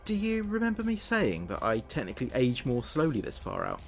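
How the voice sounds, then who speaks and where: A British man playing an earnest spaceship communications officer recording logs in a sci-fi audio drama, speaking in a measured, precise way. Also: mid-range voice, huge pitch swings, gravelly, faint music behind. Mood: affectionate.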